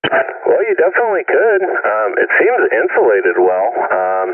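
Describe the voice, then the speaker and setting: A man on CB radio who talks in an easygoing, rambling way with many pauses and fillers. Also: medium pitch, huge pitch swings. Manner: easygoing, rambling, lots of uhs. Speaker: CB radio operator. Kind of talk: casual CB radio chat. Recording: distant, phone-like CB radio.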